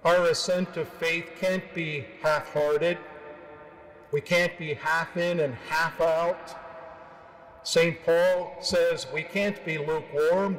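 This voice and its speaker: An older man in his sixties preaches a homily from a cathedral pulpit. His voice sits a little high for a man and he speaks very slowly, in solemn, measured phrases. The sound carries a long church reverb. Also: slight background noise. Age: 60s. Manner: measured, solemn, with deliberate pauses. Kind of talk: sermon. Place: large stone cathedral, at the pulpit. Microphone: gooseneck pulpit microphone.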